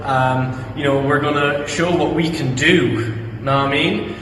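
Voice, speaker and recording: A man's voice: a little high, steady tone, normal speed, fairly bright tone, close to an everyday voice. Echoey man acting awkward wannabe tough guy. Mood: nervous bravado. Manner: hesitant, stumbling. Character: awkward young man trying to seem tough. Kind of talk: comedy sketch. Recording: echoey hall, distant, background noise.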